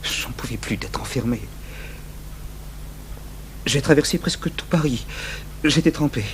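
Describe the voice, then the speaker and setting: Over the clear hiss and hum of an old radio drama tape, a male actor plays a haunted man confessing to a killing he could not resist. His mid-pitched voice is halting and anguished, heavy with remorse. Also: lightly acted. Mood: agitated. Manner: anguished, halting, swinging from outbursts to hushed murmurs. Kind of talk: French radio drama acting. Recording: noisy, humming 1980s radio broadcast tape.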